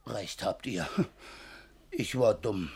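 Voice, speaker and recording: An actor playing a dim, flustered manservant with a husky voice and stammering manner in a radio comedy. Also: male voice, fairly low pitch, slow, a little mellow, exaggerated voice. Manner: stammering, repetitive. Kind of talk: German radio play of comedy.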